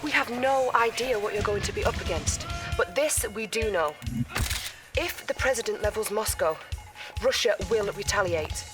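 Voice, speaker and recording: An actress playing a young female intelligence officer who briefs her commander in a crisp, earnest way. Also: mid-range voice, normal speed, over music, natural voice.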